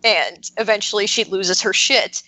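A woman's voice: mid-range voice, normal speed, bright, forceful tone, no echo, poor audio. A woman chatting casually as a podcast panelist, telling things in a hesitant, filler-heavy way. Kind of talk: casual roundtable chat.

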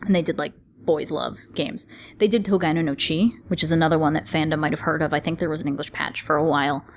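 A woman's voice: low-pitched, steady tone, fast talker, slight background noise. A woman in casual, opinionated roundtable chat, speaking in a wry, blunt, colloquial way. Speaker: podcast panelist.

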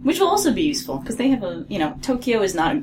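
A woman's voice: mid-range voice, big pitch swings, fast talker, background noise. A woman, co-creator of an audio drama, chatting casually on a podcast, rambling and correcting herself as she talks and laughing often.

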